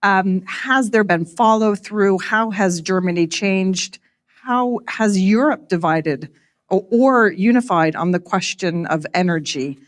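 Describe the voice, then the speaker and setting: A woman's voice: mid-range voice, slow pace. A woman moderating a panel discussion, posing questions to a guest with some fillers.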